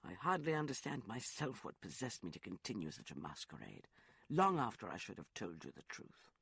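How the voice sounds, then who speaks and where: A man reading a remorseful gentleman, soft. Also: lowish voice, huge pitch swings, brisk, creaky, husky, dry recording, pitched below the performer's own voice, exaggerated voice. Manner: soft, hesitant and apologetic. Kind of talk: audiobook character voice.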